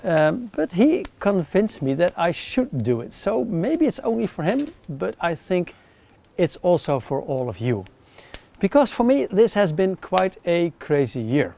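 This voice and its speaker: A middle-aged man with a Dutch accent, chatting casually to camera outdoors by a campfire, relaxed with frequent ums. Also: sits high, measured pace, gravelly. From Netherlands. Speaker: outdoor gear reviewer.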